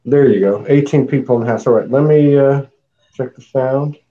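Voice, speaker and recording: A man's voice: medium pitch, normal speed, muffled recording. A man hosting a live online talk show in a loose, chatty way, laughing often and thanking viewers by name. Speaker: host.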